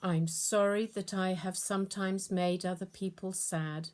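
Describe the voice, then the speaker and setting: Slow, deliberate woman reading a children's story. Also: medium pitch, steady tone, darkish tone. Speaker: storyteller and prayer leader.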